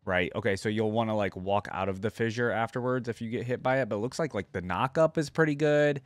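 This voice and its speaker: A man's voice: lowish voice, fast, dry recording. Casual male reactor, dry close mic. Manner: informal, easily impressed. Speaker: reaction video host. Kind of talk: casual commentary, reading out and reacting.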